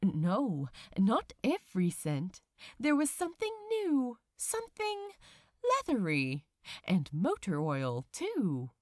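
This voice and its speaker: A woman reading fiction aloud as an audiobook narrator, with dramatic, deliberate phrasing. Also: mid-range voice, wildly swooping pitch, dry recording, clean studio sound. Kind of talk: expressive scripted story narration.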